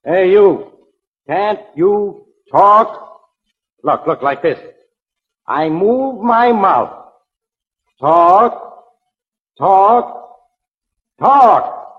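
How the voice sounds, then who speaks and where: An actor playing a gruff, impatient police inspector in a 1940s radio drama, barking orders and sarcastic wisecracks on a dull recording. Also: male voice, high-pitched, animated, very slow, long pauses, exaggerated voice. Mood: exasperated shouting.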